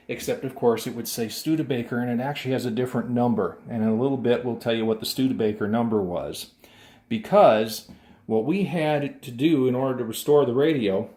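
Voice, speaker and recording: A man's voice: mid-range voice, a little mellow. Man explaining step by step. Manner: methodical, detailed explaining. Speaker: radio restorer presenting his work. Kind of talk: hands-on demonstration and explanation.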